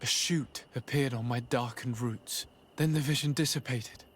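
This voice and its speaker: An actor playing an earnest young nobleman emissary in a video game, speaking in a measured, formal way. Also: mid-range voice, husky, natural voice. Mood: shaken, hushed. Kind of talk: video game cutscene dialogue.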